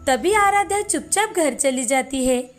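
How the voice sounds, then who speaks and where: A woman's voice: high voice, expressive, measured pace, a little hiss or hum. A woman reading a scripted children's story as narrator. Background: faint music.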